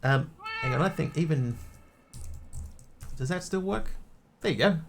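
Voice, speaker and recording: A man's voice: on the high side, expressive, unhurried, vocal fry, slight background noise. A man with a mixed Australian and New Zealand accent, chatting casually as a game streamer and answering his chat. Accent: Australian-New Zealand hybrid. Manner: rambling, easygoing, answers chat.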